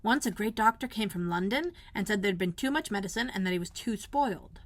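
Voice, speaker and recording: A woman's voice: mid-range voice, expressive, brisk, lightly acted. Woman voicing an anxious young storybook housemaid. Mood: gossipy. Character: anxious, plain-spoken young Yorkshire housemaid, easily alarmed.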